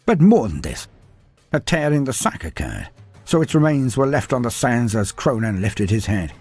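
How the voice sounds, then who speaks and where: A man delivers dramatic fantasy narration with a highly animated, swooping delivery. His voice is slightly husky, and his storytelling is deliberate and theatrical, with faint music underneath.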